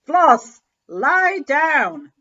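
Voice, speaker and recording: Woman playing a stern, loud, commanding farmer. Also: sits high, big pitch swings, drawn-out words, voice pushed up, full character voice. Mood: angry. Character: stern male farmer scolding his sheepdog. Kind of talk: children's storybook read-aloud. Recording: slightly dull, lo-fi recording.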